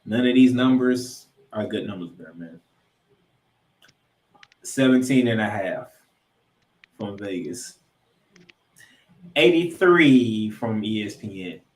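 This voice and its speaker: A man co-hosting a sports podcast, previewing games and picks with drawn-out, emphatic announcer-style introductions. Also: fairly low pitch, lively intonation. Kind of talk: college football game previews and picks. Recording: roomy, echoey sound.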